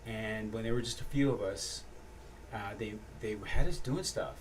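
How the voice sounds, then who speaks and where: Male actor guest, animated storyteller, sits a little back from the mic with faint room noise. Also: on the low side, normal speed, recorded from a distance, poor audio. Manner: animated storyteller, laughs often. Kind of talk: casual chat.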